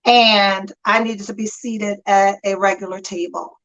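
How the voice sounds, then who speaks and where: A woman's voice: mid-range voice, little pitch movement, a little slow, fairly bright tone, muffled recording. A woman in her fifties co-hosting a casual chat show, telling stories with frequent laughter, calling in from a friend's house. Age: 50s. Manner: chatty storyteller, laughs often. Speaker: talk show co-host.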